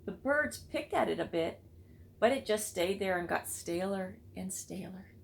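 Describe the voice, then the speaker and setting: A woman's voice: mid-range voice, lively intonation, a little slow, gravelly, a little hiss or hum. A woman reading a children's storybook aloud expressively, with chatty asides to listeners. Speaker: read-aloud book club reader.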